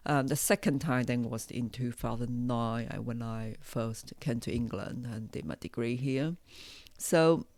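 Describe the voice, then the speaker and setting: Female podcast host, Taiwanese accent. Slow, thoughtful, pausing delivery in a close, dry sound. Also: a bass voice, creaky, dry recording. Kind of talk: unscripted personal podcast monologue.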